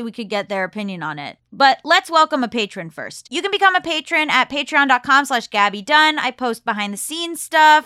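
Female podcast host, American, higher-pitched bright voice, informal and effusive, casual chat and mail reading. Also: no room sound, clean studio sound.

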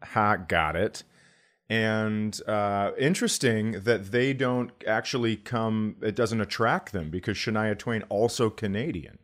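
A man's voice: fairly low pitch, a little slow, fairly bright tone. A man hosting a podcast in casual comedic chat, sarcastic and given to swearing. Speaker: podcast host.

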